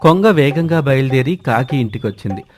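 A male storyteller reads a children's cartoon tale in scripted narration. His voice is mid-pitched, and music plays softly underneath. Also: expressive, fairly quick.

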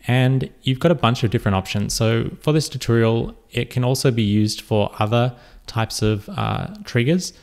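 A man giving a relaxed step-by-step software tutorial over a screen recording, with frequent fillers. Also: medium pitch, normal speed, gravelly.

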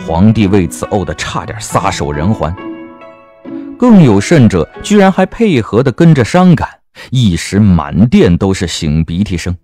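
Lively low-voiced male storyteller. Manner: wry, theatrical storytelling. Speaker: audiobook narrator. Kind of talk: expressive scripted narration of a comic period novel. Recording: music bed under the voice.

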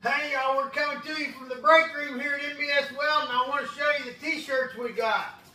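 A man, hosting a welding vlog in a relaxed, folksy way. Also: extremely high pitch, brisk, low-quality recording. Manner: folksy, relaxed storytelling with fillers. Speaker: welding channel host. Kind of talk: casual vlog talk to camera. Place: in a truck cab, then a kitchen break room.

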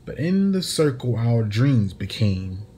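A man delivering a spoken-word poetry monologue in a dramatic, deliberate manner with pauses between phrases. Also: mid-range voice, big pitch swings, dark tone, slight background noise. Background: plucked guitar music.

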